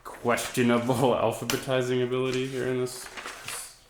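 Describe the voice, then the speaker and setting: Stuffy-nosed male musician, quiet rambling chat between songs, echoey home room. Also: medium pitch, monotone, moderate pace, background noise. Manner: rambling, self-deprecating, soft-spoken. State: stuffy nose. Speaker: singer-songwriter performing live. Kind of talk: casual between-song chat. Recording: roomy echo.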